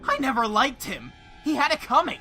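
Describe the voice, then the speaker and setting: A woman's voice: high voice, sing-song, moderate pace, music in the background. A woman voicing a hotheaded, blunt teenage boy gangster in an anime fan dub. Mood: scornful. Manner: blunt, cocky.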